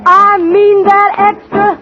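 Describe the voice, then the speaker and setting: A woman's voice: very high-pitched, flat delivery, slow pace, over loud music. A woman singing 1940s swing as a guest singer on a radio show. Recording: muffled 1940s radio broadcast. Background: loud swing band with brass.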